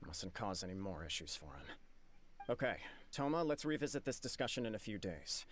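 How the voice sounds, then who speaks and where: A man voicing an earnest, self-blaming young clan leader in a video game, formal, grave and measured. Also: fairly low pitch, big pitch swings, slight background noise. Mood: resolute. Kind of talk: dramatic game dialogue. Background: soft game music.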